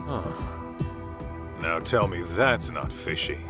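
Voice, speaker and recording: Brash low-voiced detective. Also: male voice, lively intonation, slow, over music. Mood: suspicious. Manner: blunt, slangy, informal. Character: brash, streetwise male private detective, casual and friendly. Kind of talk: video game cutscene dialogue.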